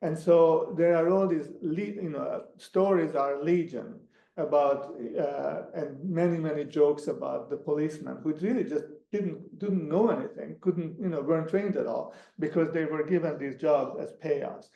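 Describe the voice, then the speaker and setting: A man whose first language is Hungarian, a guest speaker answering audience questions in an academic talk, halting with many restarts. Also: a little high, vocal fry, warm tone, muffled recording. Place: lecture room. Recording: echoey lecture room.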